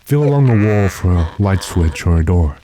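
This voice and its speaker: A man playing a weary, decisive gentleman master with a dry, commanding manner in an old-time radio drama. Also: low-pitched, animated, normal speed, slight background noise. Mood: resolute.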